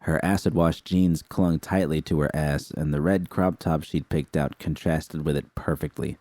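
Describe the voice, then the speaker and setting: A man narrating a first-person fan story in a steady, unhurried reading. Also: a bass voice, no echo. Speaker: fan fiction reader.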